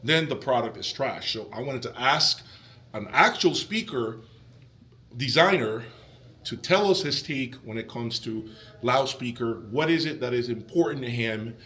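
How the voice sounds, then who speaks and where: A man's voice: mid-range voice, expressive, unhurried, bright, forceful tone. A man hosting a casual video interview, talking to his viewers in a conversational, opinionated way. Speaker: host interviewer of an audio channel.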